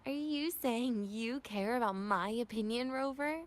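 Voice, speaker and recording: Young female student, woman actor, game dialogue. High pitch, cool and composed, dry humor, light teasing. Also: a little slow, natural voice. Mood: wry, playful. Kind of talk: video game voice acting.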